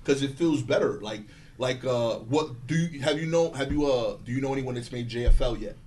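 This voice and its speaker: A man who does stand-up comedy talks casually, rambling along with plenty of filler "likes." His pitch is average for a man. He sounds animated as he goes. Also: brisk, slight background noise. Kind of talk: casual chat.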